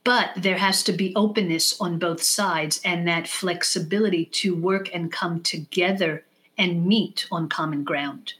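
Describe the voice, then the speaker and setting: Woman explaining in deliberate, emphatic phrases. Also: on the low side, steady tone, fairly bright tone. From born in the United States, raised in Israel from age six. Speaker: guest expert on leadership. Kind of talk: podcast interview, explanatory advice.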